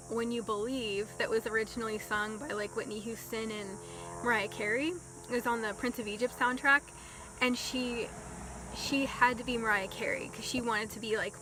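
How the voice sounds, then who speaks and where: A young woman telling a casual storytime vlog, talking slowly and softly with many ums and likes. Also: fairly high pitch, noisy background. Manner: slow, soft-spoken with many ums and likes. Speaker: vlogger.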